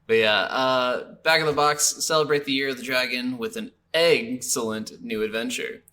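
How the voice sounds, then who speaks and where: A man with a mid-pitched, bright and crisp-edged voice. He talks casually and agreeably as a co-host on a gaming podcast. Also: fairly even intonation, normal speed. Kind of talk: casual chat about video games.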